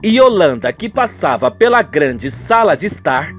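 A man's voice: high voice, very animated, moderate pace, breathy edge. A man with a Brazilian accent narrating a radio soap opera from a script.

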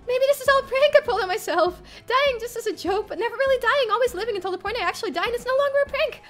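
A woman plays an anguished young heroine in a horror visual novel. Her voice is very high and dramatic, with faint music underneath as she narrates in the first person. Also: expressive, racing, a bit bright.

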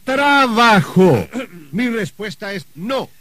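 A man's voice: high-pitched, sing-song, slow pace, fairly bright tone, full character voice. An actor playing a stern, anxious older wealthy man in a radio drama, hesitant and given to muttering. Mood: stern refusal.

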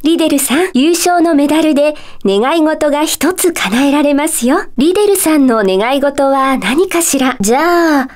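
A woman playing a gentle, polite magic-school teacher in a Japanese video game, sweetly composed, with coy, teacherly phrasing. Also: high-pitched, lively intonation, normal speed, hardly any pauses. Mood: kindly, gentle. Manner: polite, soft-spoken teacherly phrasing with playful coyness. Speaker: voice actor.

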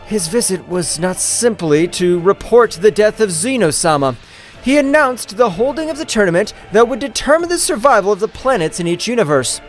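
A man's voice: sits high, very animated, moderate pace, soft background music, voice pushed up. A man voicing a solemn divine messenger who addresses the gods in an earnest, formal, declamatory way. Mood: urgent.

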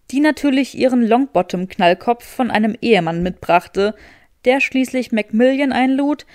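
A woman's voice: on the high side, darkish tone, no echo. Woman narrating fiction, dry close sound. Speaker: audiobook narrator.

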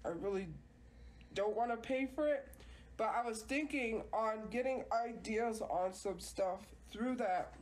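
A woman with a stuffed-up nose talks slowly on a live phone stream, wandering and hesitant, often stopping to restart her sentences. A faint background hiss sits under her voice. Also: a little high, darkish tone, low-quality recording. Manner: rambling, hesitant, many restarts. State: congested. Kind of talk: casual livestream chat.

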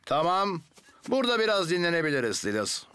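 A man's voice: a little high, very animated, brisk, pushed and bright. A man voicing a patient, encouraging heroic firefighter in a children's cartoon dub.

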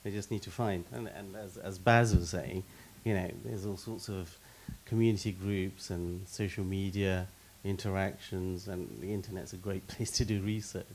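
Low-voiced man answering on a panel stage. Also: fairly even intonation, moderate pace, darkish tone, a little hiss or hum, poor audio. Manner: expansive, repeats words when starting, gives lists of examples. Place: stage in a meeting hall with audience. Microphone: handheld mic.

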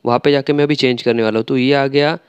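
A man's voice: medium pitch, fairly even intonation, fast talker, clean tone, highs cut off. A man talking through a screen-recorded tutorial step by step, chatty and loose, with frequent filler sounds. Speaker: tech tutorial creator.

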